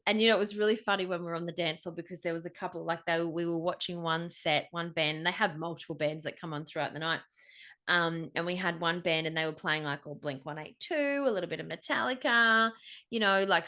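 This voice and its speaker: A woman's voice: lowish voice, steady tone, brisk, bright, forceful tone. A woman in her forties with an Australian accent, co-hosting a podcast in casual, jokey, slangy chat. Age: 40s. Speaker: podcast co-host.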